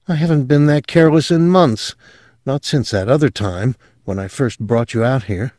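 Brief: a male reader doing a soft, dreamy young woman, voice lifted well above his own, hushed and dry. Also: mid-range voice, moderate pace, dry recording, pitched above the performer's own voice, close to an everyday voice. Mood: rapt. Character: young woman, eerie and rapturous.

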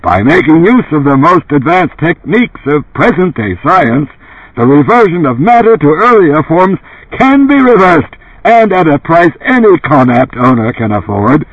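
A male narrator puts on a brash older tycoon selling on television in an audiobook. His voice is gravelly and emphatic, swinging widely in pitch and lifted well up for the sales pitch. The sound is dry and thin, like a radio. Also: sing-song, moderate pace, no room sound, muffled recording. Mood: insistent. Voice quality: gravelly, dark, resonant. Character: dead older tycoon as booming, blunt TV pitchman.

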